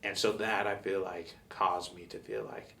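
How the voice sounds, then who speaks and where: A man's voice: low voice, fairly even intonation, normal speed, sharp tone, distant mic, slight background noise. A young American man from Las Vegas talking reflectively with his father, often saying "like" and restarting his sentences. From Las Vegas, Nevada. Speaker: interviewer, son. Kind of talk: casual family conversation.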